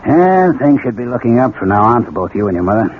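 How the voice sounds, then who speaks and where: A plain-spoken, assured police lieutenant played by a man with a fatherly streak, acted for 1970s radio. His mid-pitched voice is very animated. The recording is slightly muffled and noisy. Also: fast talker, lightly acted. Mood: gentle, reassuring. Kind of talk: 1970s radio drama acting.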